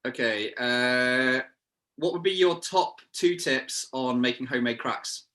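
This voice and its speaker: Bright-voiced British man hosting a Q&A. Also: medium pitch, unhurried. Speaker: co-host reading out viewer questions. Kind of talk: casual live Q&A chat.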